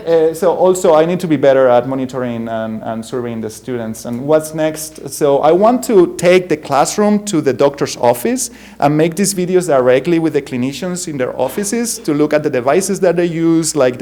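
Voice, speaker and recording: A young adult man from Spain with a strong Spanish accent, giving a conference keynote as a bioengineering professor, halting with many fillers, restarts and small self-deprecating laughs. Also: on the high side, warm tone. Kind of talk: conference talk with slides.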